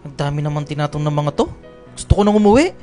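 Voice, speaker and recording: A man's voice: fairly high pitch, very animated, quick pace, mellow, faint music behind. A man playing a bitter, impatient father who grumbles about family duties in a Filipino radio drama. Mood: grumbling.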